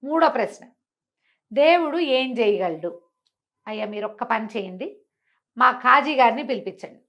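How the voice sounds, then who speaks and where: A woman's voice: fairly high pitch, lively intonation, normal speed, fairly bright tone. A woman telling a moral tale expressively, explaining through dialogue and rhetorical questions. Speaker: storyteller. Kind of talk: animated oral storytelling of a moral fable, acting out dialogue.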